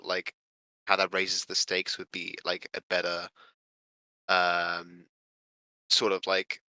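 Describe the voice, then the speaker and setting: Very bright, even-toned man, hesitant. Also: sits low, dry recording, poor audio. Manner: hesitant, rambling, heavy use of "like". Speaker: participant giving script critique. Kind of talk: casual spoken feedback.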